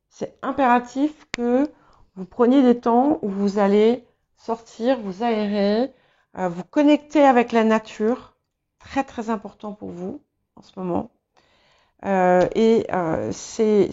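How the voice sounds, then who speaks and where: Woman, native English speaker, tarot reader, hesitant, many fillers, slow pace, dull lo-fi recording. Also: on the high side, dark tone. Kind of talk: tarot reading for viewers. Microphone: small clip-on mic.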